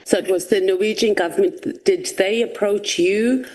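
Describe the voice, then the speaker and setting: A woman asking a witness measured questions at a formal hearing, pausing often. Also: medium pitch, steady tone, a little mellow, rough recording. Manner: measured, with frequent "uh" pauses. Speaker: committee member questioning a witness.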